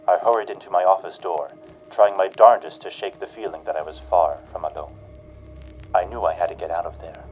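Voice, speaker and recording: An actor playing a 1940s radio announcer and narrator, booming through the sponsor spots, then telling his own night of terror in a hushed, tense voice. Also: steady tone, fairly quick, highs cut off, faint music behind, lightly acted. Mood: hushed dread. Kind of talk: 1940s radio drama acting.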